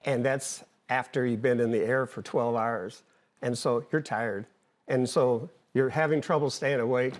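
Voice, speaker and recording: An older man, an interview guest, explaining things conversationally in a plain, halting way with repeated words. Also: mid-range voice, steady tone. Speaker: interview guest, former air traffic controller and pilot.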